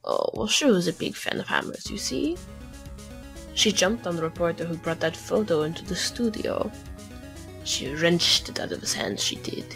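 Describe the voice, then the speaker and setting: A woman voicing a cool, condescending female blackmailer with a deliberate, taunting delivery in an amateur game fandub. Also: lowish voice, animated, gravelly, fairly bright tone, faint music behind. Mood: sly, commanding.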